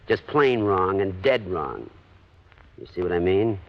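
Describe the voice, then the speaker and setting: A muffled old radio drama recording carries a tough male ex-gangster. His voice sits fairly low, and he talks in brusque street slang with a touchy, menacing edge. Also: sing-song, unhurried, a little hiss or hum, full character voice. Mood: prickly, menacing. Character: tough, touchy male ex-mobster turned insurance agent. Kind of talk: 1950s radio detective acting. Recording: dull vintage 1950s radio recording.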